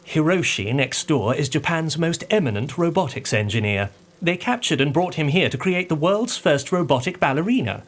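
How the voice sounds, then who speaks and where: An actor playing an earnest, prickly captive insect scientist in a comedic audio drama, pedantic and fervent. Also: male voice, fairly high pitch, animated, normal speed. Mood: confiding.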